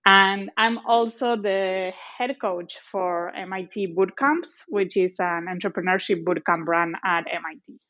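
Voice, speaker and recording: A woman from Argentina with an Argentine accent, giving measured, explanatory interview answers as a guest. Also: medium pitch, fairly even intonation, fairly bright tone. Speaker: guest, company executive. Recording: slightly dull lo-fi audio.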